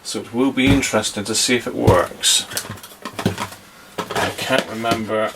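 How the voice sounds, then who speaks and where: A man's voice: fairly low pitch, fairly even intonation, unhurried. A man giving a casual hands-on demonstration, thinking aloud with some hesitation. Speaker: vlogger testing old radios. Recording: very noisy with radio static and clicks.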